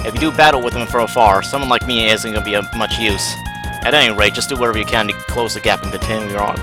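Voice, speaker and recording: A man's voice: fairly low pitch, steady tone, fast talker. A man reading a game's story aloud on a live stream, with casual asides and the odd stumble over words. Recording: game music behind the voice. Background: game music.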